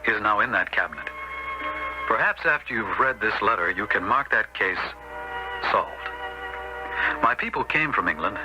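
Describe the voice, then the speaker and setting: A man's voice: fairly low pitch, expressive, normal speed, pauses often, lightly acted. An actor playing an earnest, dutiful American elder son who tells his story soberly in a radio drama. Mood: sober, confessional. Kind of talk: 1970s radio drama acting and narration. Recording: muffled old radio recording, music behind.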